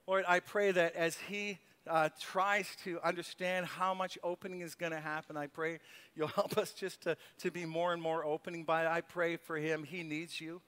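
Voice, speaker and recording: A man's voice: fairly high pitch, little pitch movement, moderate pace, fairly bright tone, clean tone. A middle-aged man, a pastor praying and preaching on a church stage, earnest and repeating his words as he presses a point. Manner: earnest, repeats words, rises to fervent emphasis. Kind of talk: sermon and prayer, then stage conversation. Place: church stage, large room. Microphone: handheld mic.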